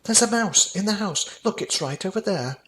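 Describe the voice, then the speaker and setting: A man's voice: sits high, animated, moderate pace, a bit bright, voice pushed up. A man voicing a cautious, stern father in a rhyming children's storybook, firm and emphatic. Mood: alarmed.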